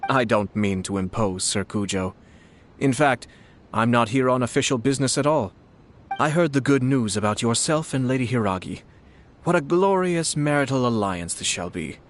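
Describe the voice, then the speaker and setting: An actor playing a refined young lord in a video game, speaking in a formal, measured and polite manner. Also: male voice, mid-range voice, lively intonation, slight background noise, close to an everyday voice. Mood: gracious. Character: refined young noble lord, courteous, composed commissioner. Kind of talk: scripted video game dialogue.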